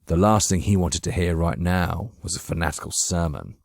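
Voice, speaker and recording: Casting: male narrator, low voice, measured literary delivery for dramatic fiction, very close dry recording. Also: gravelly, darkish tone, no room sound. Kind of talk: scripted dramatic audiobook narration.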